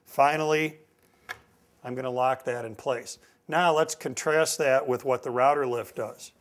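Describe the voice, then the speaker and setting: A man's voice: mid-range voice, fairly even intonation, unhurried. A middle-aged man with an American accent giving a step-by-step instructional demonstration, stressing key points with repetition. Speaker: woodworking instructor. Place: indoor woodworking shop. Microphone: lapel mic.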